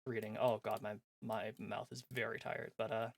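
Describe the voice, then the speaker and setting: A man's voice: lowish voice, little pitch movement, normal speed, creaky. A man chatting casually on a game livestream in a rambling, self-deprecating way. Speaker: game streamer. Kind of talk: casual livestream chat, reading visual novel aloud. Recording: music behind the voice. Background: background music.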